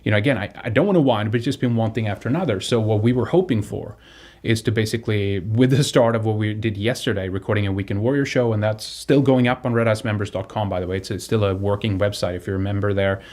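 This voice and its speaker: A man from Sweden with a fairly low voice speaks as an online host addressing his viewers. He is a native Swedish speaker talking off the cuff, and he often doubles back and repeats words as he goes. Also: darkish tone. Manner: halting, repeats and restarts words. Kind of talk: unscripted appeal to viewers.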